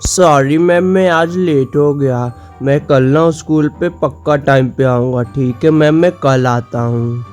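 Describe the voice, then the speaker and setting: Cartoon schoolboy, played by a man: poor, earnest, deferential, lifted pitch, mellow tone, music underneath. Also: measured pace, hardly any pauses, lightly acted. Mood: apologetic, polite. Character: eager, polite poor schoolboy dreaming big. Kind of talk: cartoon story dialogue. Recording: music behind the voice.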